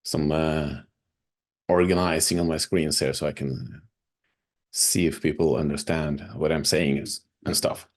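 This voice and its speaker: A man's voice: extremely low pitch, fairly even intonation, measured pace. A man from Norway with a Norwegian accent, a non-native English speaker, presenting over a video call with hesitant speech and many fillers.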